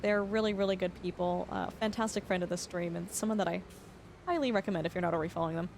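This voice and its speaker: A young woman streaming a farming game, chatting casually and explaining facts with lots of fillers, her voice slightly hoarse. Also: lowish voice, quick pace, darkish tone, a little hiss or hum. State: voice going hoarse. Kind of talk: casual live-stream chat.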